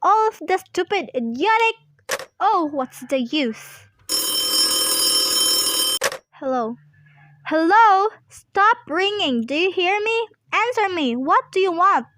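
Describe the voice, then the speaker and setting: A woman's voice: extremely high pitch, animated, measured pace, a bit bright, heavily acted. A woman playing a nervous, demanding, self-pitying invalid wife in an amateur radio play, fretful and complaining. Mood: frantic, angry.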